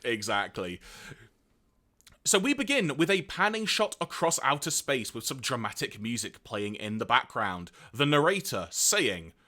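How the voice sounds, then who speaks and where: A man co-hosting a podcast in casual chat, his voice slightly hoarse, animated and chatty with big swings in pitch. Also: a little slow. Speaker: podcast co-host.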